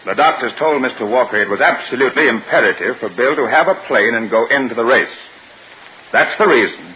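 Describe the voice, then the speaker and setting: Bright-voiced, patient father. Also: medium pitch, animated. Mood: patient, firm. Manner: measured, firm, explanatory. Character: patient, reasonable middle-aged father of a teenager. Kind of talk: 1940s radio sitcom acting. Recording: noisy vintage 1940 radio broadcast.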